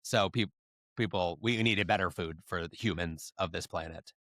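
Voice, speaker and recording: A man's voice: sits low, normal speed, sharp tone, dry recording, clean studio sound. A man telling stories in casual podcast chat as one of the hosts. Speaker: podcast host.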